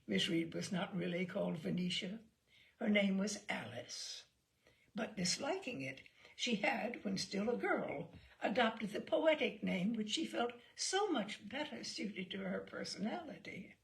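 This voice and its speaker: Woman reading a short story, expressive and theatrical, creaky voice, swoops around a lot. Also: mid-range voice, unhurried. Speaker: audiobook reader.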